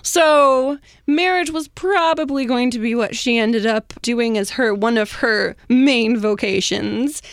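A woman's voice: on the high side, slow, hardly any pauses, no echo. A woman hosting an informal history story among co-hosts, talking casually with slangy, chatty asides. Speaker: host telling a history story.

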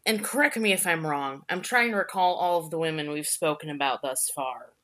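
A woman co-hosting a podcast, thinking aloud haltingly in casual, reflective discussion. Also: low-pitched, a bit bright. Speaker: podcast co-host.

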